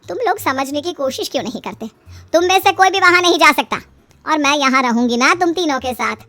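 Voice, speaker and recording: A woman's voice: high-pitched, expressive, a little fast, bright, forceful tone. A woman voicing a middle-aged mother-in-law in a story, coaxing and plaintive as she schemes. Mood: coaxing, scheming. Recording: music behind the voice.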